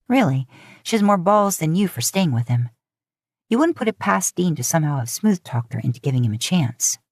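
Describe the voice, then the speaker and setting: A woman's voice: low voice, a little mellow, no echo, studio quality. A woman reading scripted fiction as an audiobook narrator.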